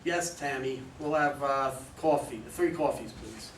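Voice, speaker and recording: A man's voice: mid-range voice, measured pace, natural voice. A man playing a composed, knowing consultant who questions and instructs his clients in a staged play reading. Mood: composed, probing. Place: room at a live readers' theater reading. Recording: echoey room, distant mic, faint noise.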